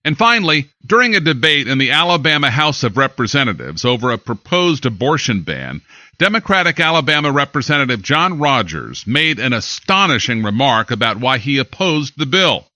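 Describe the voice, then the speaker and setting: A man's voice: mid-range voice, big pitch swings, moderate pace, sharp tone, no echo. An American man reading scripted news in a studio with dramatic emphasis. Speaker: newscaster.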